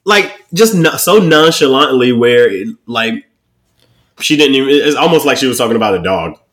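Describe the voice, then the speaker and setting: A man co-hosting a casual chat, blunt and profane, talking with animated swings. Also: mid-range voice, normal speed. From Washington DC area. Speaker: podcast co-host.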